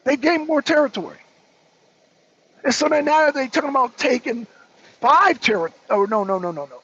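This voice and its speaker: A man's voice: very high-pitched, expressive, normal speed, a bit bright, a little hiss or hum. A man hosting a political talk show, giving blunt, emphatic commentary and repeating phrases for effect. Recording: dull lo-fi audio.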